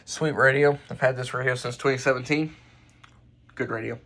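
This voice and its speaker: A man presenting his hobby collection in a casual, unscripted way, halting and often correcting himself as he goes. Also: medium pitch, fairly even intonation, fairly bright tone. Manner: halting, offhand, self-correcting. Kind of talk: casual unscripted show-and-tell.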